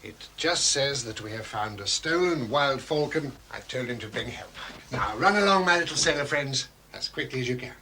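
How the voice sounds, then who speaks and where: An actor playing a scheming shopkeeper who talks down to children in a patronising, smooth way. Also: male voice, medium pitch, expressive, moderate pace, pushed and bright, a little hiss or hum. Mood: coaxing. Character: scheming shopkeeper man feigning kindness. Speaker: villain.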